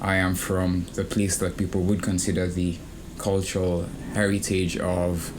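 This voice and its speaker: A young man from Kenya co-hosting a student podcast, speaking thoughtfully with frequent pauses and fillers. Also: deep voice, level tone, noisy background. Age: young adult. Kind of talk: casual conversation.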